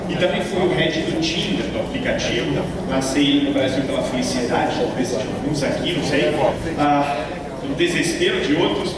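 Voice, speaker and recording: A man's voice: a little high, very noisy. A man from Italy with an Italian accent, speaking Portuguese as a keynote speaker and acting out bits of dialogue in his stories. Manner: animated storytelling, acts out dialogue. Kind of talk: conference keynote talk. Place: large conference hall. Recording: echoey hall from far off.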